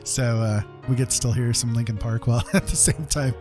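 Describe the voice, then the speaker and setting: A man's voice: mid-range voice, brisk. A man chatting casually and laid-back on a livestream, thinking aloud as he paints. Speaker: streamer, digital painter. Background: piano music.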